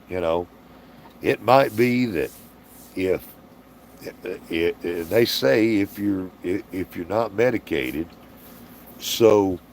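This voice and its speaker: A man's voice: low voice, a little mellow, slight background noise. A man hosting a casual livestream Q&A, folksy and rambling, reading out viewer comments in a tired, strained voice. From Alabama, US. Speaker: livestream host.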